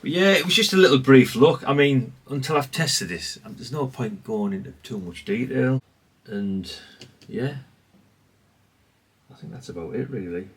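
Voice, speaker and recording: A man's voice: medium pitch, lively intonation, moderate pace, husky, recorded from a distance. A man giving a casual, rambling, understated show-and-tell, speaking off the cuff. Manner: rambling, self-effacing, understated. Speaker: hobbyist presenter.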